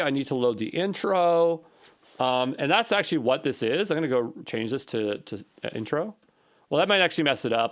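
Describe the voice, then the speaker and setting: A man giving an unscripted coding walkthrough as an instructor, thinking aloud in a tentative, musing way and questioning himself. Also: mid-range voice. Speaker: programming instructor.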